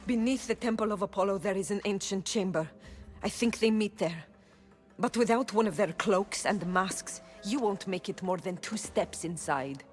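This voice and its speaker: A woman playing a guarded, troubled oracle of ancient Greece in a video game, speaking solemnly and deliberately. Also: medium pitch, faint music behind, natural voice. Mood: wary. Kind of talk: dramatic video game acting.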